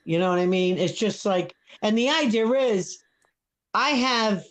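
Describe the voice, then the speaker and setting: A woman's voice: mid-range voice, unhurried. A woman in her seventies from Brooklyn with a Brooklyn accent, talking bluntly and heatedly as a guest on a live stream. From Brooklyn, New York. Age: 70s. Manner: blunt, heated, repeats herself. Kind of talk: casual chat.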